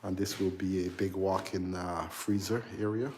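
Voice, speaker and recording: A man in his forties, an electrical contractor hosting a casual live stream as he walks through a big unfinished house, answering viewers in a halting, chatty way full of ums and uhs. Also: low-pitched, little pitch movement, noisy background. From Barbados. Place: large unfinished house under construction, indoors.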